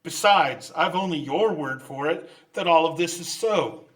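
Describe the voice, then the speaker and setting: A man's voice: a little high, unhurried, lightly acted. A man playing a cold, miserly old businessman who is curt and scornful, in old-time radio drama style. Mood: dismissive. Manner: curt, scornful, barking orders.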